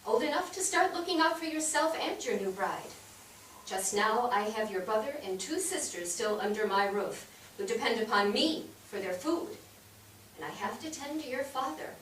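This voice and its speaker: A woman playing a burdened, firm nineteenth-century wife and mother in a staged reading, speaking in a measured way. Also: fairly high pitch, animated, fairly bright tone. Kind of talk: staged reading of a period drama. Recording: echoey theatre, distant mic, faint noise.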